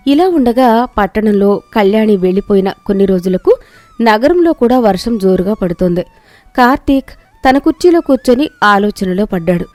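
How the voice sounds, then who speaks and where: Female storyteller for moral tales, mellow and warm tone, dry close recording. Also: medium pitch, no echo. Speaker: story narrator. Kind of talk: scripted storytelling narration of a moral tale.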